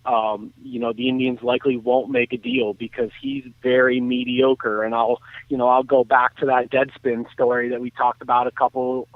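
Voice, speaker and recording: Heard through a thin, phone-like connection with no room sound, a man talks sports. His voice sits at an average pitch, and he analyses casually, restarting phrases as he goes. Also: no room sound. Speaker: sports talk commentator. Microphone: phone call. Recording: thin, tinny phone line.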